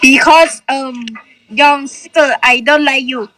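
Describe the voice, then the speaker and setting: A woman with a Thai accent chatting casually in halting, very basic English with short answers. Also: high voice, fairly even intonation, background noise. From Thailand. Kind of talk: casual video chat.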